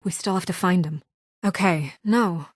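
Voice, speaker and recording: A woman voicing a brisk, authoritative, no-nonsense woman administrator under pressure in a video game, crisp, earnest and firm. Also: fairly low pitch, no room sound, clean studio sound. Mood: businesslike, hurried. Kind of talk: naturalistic video game voice acting.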